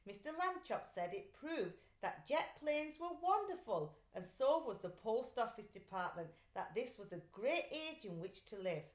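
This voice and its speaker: A woman reads a children's story in a fairly high, very animated voice. Her phrasing is deliberate and expressive, like a teacher's. The room she records in is echoey. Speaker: teacher reading aloud.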